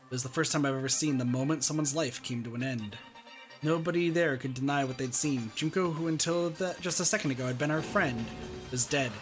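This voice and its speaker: A man's voice: medium pitch, a little fast, faint music behind. A young man reading visual novel narration aloud in a dramatic style.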